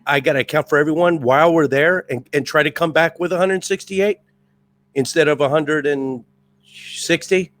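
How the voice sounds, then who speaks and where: A middle-aged man with a raspy voice from years as a drill instructor, talking casually as a podcast guest in an intense, amped-up way. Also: a little high, moderate pace, fairly bright tone. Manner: intense, amped-up, restless talker. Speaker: podcast guest, retired Marine first sergeant. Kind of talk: casual chat.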